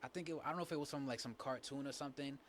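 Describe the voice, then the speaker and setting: Rambling young man, halting speech. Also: mid-range voice, a little hiss or hum. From New Bedford, Massachusetts. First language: Spanish. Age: young adult. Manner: rambling, halting, many fillers and restarts. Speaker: guest. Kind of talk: casual podcast chat.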